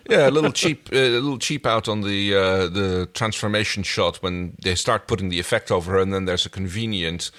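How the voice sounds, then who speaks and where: A man's voice: fairly low pitch, a little fast, few pauses, fairly bright tone. A man co-hosting a podcast, casually reviewing a TV episode, agreeable and thinking aloud as he goes. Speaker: podcast co-host.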